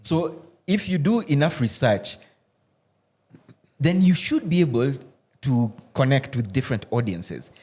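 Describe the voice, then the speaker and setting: Animated man telling stories. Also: mid-range voice, measured pace. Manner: animated storyteller, frequent restarts and laughs. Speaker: actor and podcast guest. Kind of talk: casual roundtable chat. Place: podcast studio.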